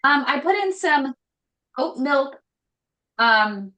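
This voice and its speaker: A woman hosting a casual live cooking show from her home kitchen, talking loudly and emphatically with a voice that carries. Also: sits high, lively intonation, takes it slowly, reverberant, poor audio. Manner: loud voice that carries, chatty and emphatic. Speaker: host cooking on a live stream.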